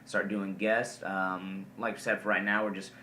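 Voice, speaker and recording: A man's voice: low voice, flat delivery, normal speed, bright, forceful tone, distant mic. Guy cohosting a chill podcast, kinda rambly, lots of ums, roomy echo and a bit of hiss. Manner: rambling, many ums and uhs. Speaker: podcast co-host. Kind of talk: casual chat about wrestling. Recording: echoey room, faint noise.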